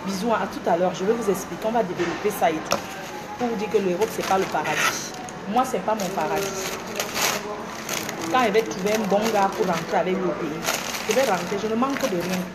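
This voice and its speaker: A woman's voice: mid-range voice, a little fast. A woman from Cameroon with a Cameroonian French accent, lecturing her followers emphatically and repetitively in a live video from a train station. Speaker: social media personality. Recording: noisy station ambience behind her.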